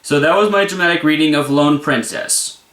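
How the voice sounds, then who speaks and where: Higher-pitched man in an echoey room. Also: moderate pace, a bit bright, soft background music. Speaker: narrator and channel host. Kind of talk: casual video outro. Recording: roomy, echoey voice recording.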